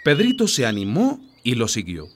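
An expressive storyteller narrating a scripted radio drama. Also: mid-range voice, moderate pace, a little hiss or hum. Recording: music behind the voice.

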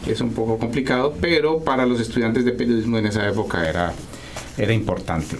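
A middle-aged Colombian man answering as a guest in a radio interview, halting and correcting himself as he talks. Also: mid-range voice, slight background noise. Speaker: guest, writer and journalist.

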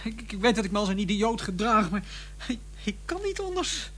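An actress playing a distraught, obsessive young artist, stammering and insistent, in a radio play. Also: female voice, mid-range voice, sing-song, fairly quick, a bit bright. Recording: faintly noisy, humming radio play recording.

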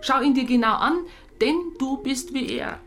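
Blunt, sardonic police psychologist. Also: female voice, sits high, fairly even intonation, normal speed, fairly bright tone, soft background music. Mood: agitated, sarcastic. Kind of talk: naturalistic radio drama dialogue.

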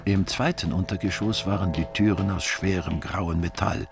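A man playing the dry, wry narrator of a radio drama, telling a crime story in a measured, deadpan way. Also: low voice, vocal fry. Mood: matter-of-fact.

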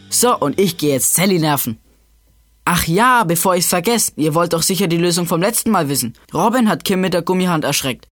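Guy playing chatty cheeky kid host for a childrens show, highish voice, casual, bit of music behind. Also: fairly bright tone. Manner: casual, chummy, addressing kids directly. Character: chatty cheeky youngster presenting a kids' show. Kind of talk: scripted children's show presenting.

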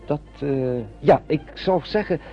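An actor in a radio play as a fussy, obliging army doctor, hesitant and condescendingly kind. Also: male voice, on the high side, unhurried, gravelly, mellow. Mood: bland, fussy. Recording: dull old radio play, music behind.

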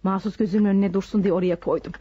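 An actress playing a bossy middle-aged mother in radio theatre, nagging and fussing in a hurried manner. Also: mid-range voice, fairly even intonation, breathy edge, no echo, close to an everyday voice. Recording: dull lo-fi radio recording.

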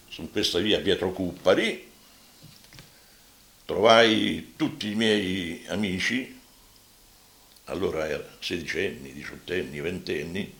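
Older man, Roman accent, deep register, gravelly creak, spoken eyewitness testimony. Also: fairly bright tone. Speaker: witness recalling wartime.

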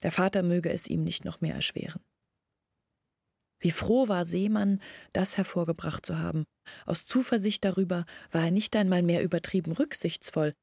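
A woman's voice: lowish voice, normal speed, gravelly, a little mellow, slightly rough, dry recording, studio quality. An actress playing a troubled young village woman who recounts her family's downfall as a first-person story in a radio play. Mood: resigned sorrow.

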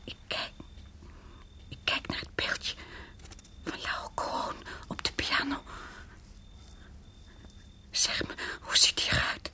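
A woman's voice: slow pace, pauses often, bright, forceful tone, whispered. A woman acting in a radio play as an anxious, insistent wife who falters and repeats herself. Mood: frightened, hushed. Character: frightened wife, frozen still, anxiously insistent.

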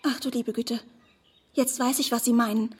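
A woman playing a naive, smitten young woman in a radio drama, earnest and effusive with a breathy delivery. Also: on the high side, steady tone, moderate pace. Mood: adoring. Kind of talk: German radio drama acting.

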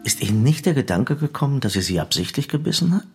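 An actor playing a formal, persistent court psychiatrist, questioning clinically in a German radio drama. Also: male voice, mid-range voice, sing-song, fast, music in the background. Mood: clinical, probing.

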